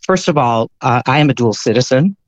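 A man's voice: medium pitch, expressive, a little fast, dry recording. Man arguing, dull lo-fi sound. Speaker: panel guest. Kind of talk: argumentative political discussion.

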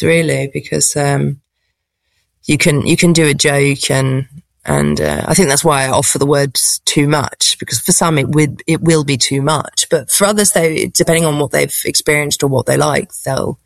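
A woman's voice: deep voice, normal speed, creaky, no echo. A woman with a posh English RP accent answers interview questions conversationally, thinking aloud and searching for her words. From England. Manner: reflective, hesitant, searching for words. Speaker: interview guest, stand-up comedian.

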